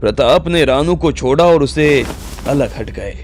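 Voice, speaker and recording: A man's voice: fairly high pitch, expressive, measured pace, a little hiss or hum. A man narrating a scripted audio drama story as its storyteller. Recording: music bed under the voice.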